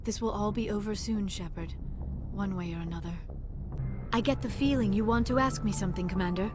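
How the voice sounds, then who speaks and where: A woman voicing a gentle, earnest young female alien scientist in a video game, speaking formally and carefully. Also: medium pitch, fairly quick, lightly acted. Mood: soft, earnest. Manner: formal, careful phrasing without contractions. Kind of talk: video game dialogue acting. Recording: music behind the voice.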